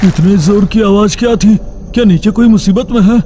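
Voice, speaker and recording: A man's voice: high-pitched, normal speed, music in the background, natural voice. A man playing an alarmed watchman in a cartoon horror story. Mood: alarmed, worried. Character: alarmed male watchman on a lookout.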